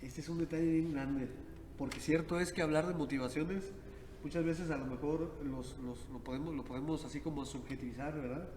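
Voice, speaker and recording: Priest with a reverberant sound. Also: male voice, on the high side, steady tone, a little fast, darkish tone. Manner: thoughtful, many tag questions. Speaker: priest and podcast host. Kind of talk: reflective conversational podcast. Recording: echoey hall with background noise.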